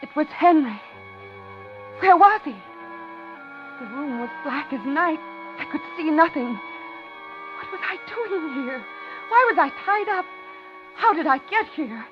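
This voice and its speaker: An actress playing an ordinary woman held captive, frightened and pleading, in dramatic, deliberate 1950s radio thriller style. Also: high-pitched, animated, over music. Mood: panicked, then bewildered, fearful. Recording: muffled 1950s radio recording.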